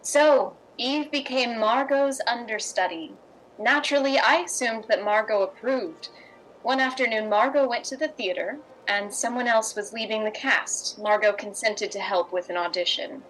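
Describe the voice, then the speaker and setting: A woman playing a poised, worldly, reassuring playwright's wife in 1950s radio drama style, wry and measured. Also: on the high side, a bit bright, natural voice, poor audio.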